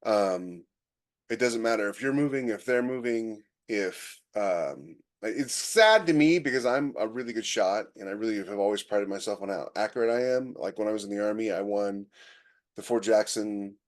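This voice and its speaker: A man's voice: fairly low pitch, normal speed. American man, casual and opinionated podcast talk. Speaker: podcast host. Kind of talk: casual chat.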